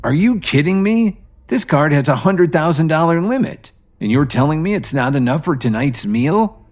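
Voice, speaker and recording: A man's voice: a little high, lively intonation, normal speed, pitched above the performer's own voice. A man playing an arrogant, drunken young rich man in an audio drama, boastful and indignant. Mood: outraged.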